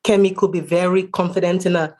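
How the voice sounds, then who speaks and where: Female author from Nigeria, somewhat low-pitched, giving earnest, conversational explanations as an interview guest. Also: steady tone, fast talker, darkish tone.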